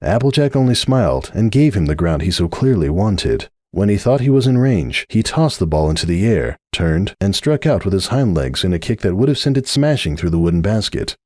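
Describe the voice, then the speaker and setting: A man's voice: sits low, sing-song, a little fast, hardly any pauses, a little mellow, no room sound, clean studio sound. A man giving a dramatic scripted reading of a story as its narrator.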